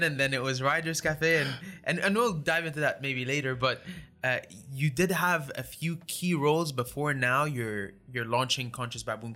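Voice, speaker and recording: A man's voice: medium pitch, sharp tone. A male podcast host who asks rambling questions, repeating words as he goes. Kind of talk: conversational interview.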